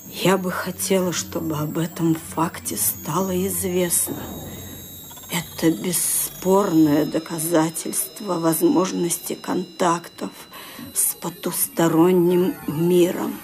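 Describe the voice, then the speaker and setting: Older widow, low, deliberate, firm, radio play. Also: female voice, fairly even intonation, darkish tone, soft background music. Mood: solemn. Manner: measured, grave, commanding. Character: frightened elderly widow, firm with her maid.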